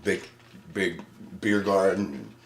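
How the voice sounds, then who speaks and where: A man in his forties with a raspy voice, a musician guest talking loosely and profanely in a casual interview. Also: low voice, lively intonation, slow, slight background noise. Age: 40s. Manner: loose and profane, laid-back.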